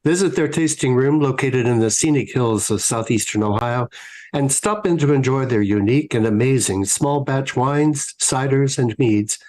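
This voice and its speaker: A man's voice: mid-range voice, normal speed, a little mellow, low-quality recording. A man announcing and narrating in a scripted, old-time radio show style.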